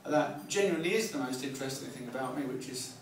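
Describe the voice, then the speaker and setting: A man in his forties with a southern English accent, doing live stand-up comedy in a wry, self-deprecating way with halting, repeated phrases. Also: medium pitch, expressive, crowd noise. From Kent, England. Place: live comedy night in a pub venue. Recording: echoey club, distant mic, audience noise. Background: audience laughter.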